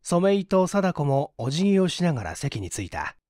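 A man's voice: a little high, sing-song, moderate pace, a little mellow, no room sound, studio quality. A man narrating a story as a dramatic, scripted audiobook reading. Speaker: audiobook narrator, stage actor.

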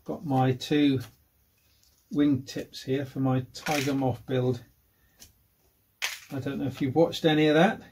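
A man in his fifties presenting a casual hands-on how-to vlog, informal and low-key, thinking aloud as he works. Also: flat delivery, measured pace, pauses often. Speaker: hobbyist presenter. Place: at home, indoors and in a workshop. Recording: noisy home-made recording with clicks.

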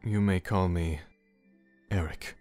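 An actor playing a brooding, reserved man in an audio drama, speaking in a measured, formal, terse way. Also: low voice, steady tone, a little mellow, faint music behind. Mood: softened, formal.

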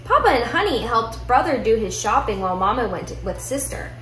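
A young woman reads a children's picture book aloud with expressive storytelling in a living room. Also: a little high, brisk. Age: young adult. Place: indoor living room at home. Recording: roomy echo, music behind.

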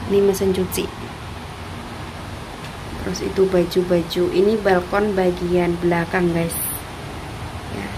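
A woman's voice: fairly low pitch, noisy background. A young woman from Indonesia giving a casual house tour of her employer's apartment, filming on a handheld phone as she walks from room to room, often dropping to a near whisper. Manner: chatty, repeats "seven seperti ini", often drops to a whisper. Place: apartment in Taiwan, indoors. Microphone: handheld phone filming while walking.

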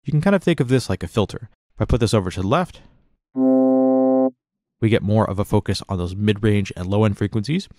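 A man narrating a casual step-by-step software tutorial in an informal, explanatory way. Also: lowish voice, brisk, no room sound, studio quality, faint music behind.

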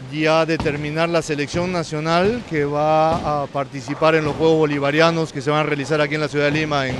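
Male sports official, middle-aged, Peruvian accent, slightly raised pitch, noisy bowling alley interview. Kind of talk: on-camera interview. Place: large indoor bowling alley. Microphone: handheld interview microphone.

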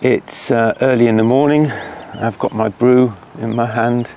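A man talking casually to the camera from a lakeside campsite, unhurried and hesitant, with many fillers. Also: medium pitch, steady tone, gravelly, warm tone, slight background noise. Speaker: cycling vlogger. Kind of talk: casual outdoor vlog. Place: lakeshore campsite outdoors by mountains. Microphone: camera at a distance.

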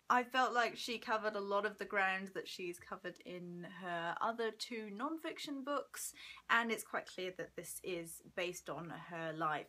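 A woman in her twenties giving an enthusiastic, expressive book review in casual chat to camera at home. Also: medium pitch. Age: 20s. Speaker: book vlogger. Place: small room at home by a window.

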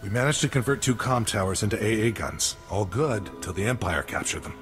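Actor as businesslike resistance fighter, game music behind. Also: male voice, lowish voice, lively intonation, brisk, close to an everyday voice. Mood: earnest, businesslike. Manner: matter-of-fact, clipped military briefing. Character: businesslike male resistance fighter briefing an ally. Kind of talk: scripted video game dialogue.

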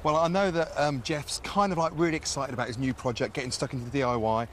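A man in his thirties presenting a home-moving show, quick and jokey as he chats with the family, on a lapel mic. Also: mid-range voice, steady tone, slight background noise. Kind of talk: lifestyle TV presenting.

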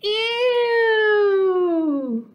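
A woman voicing excitable, squeamish little picture-book monsters in a dramatic, exclaiming manner. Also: very high voice, very slow, lo-fi sound, noisy background, pitched above the performer's own voice, exaggerated voice. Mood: grossed out.

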